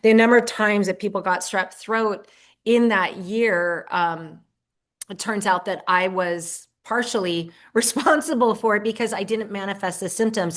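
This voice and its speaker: A woman's voice: mid-range voice, fairly bright tone. A middle-aged woman talking earnestly in a casual podcast conversation, often restarting her sentences. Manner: earnest, talks in affirmations, frequent restarts. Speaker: podcast guest and host of her own show.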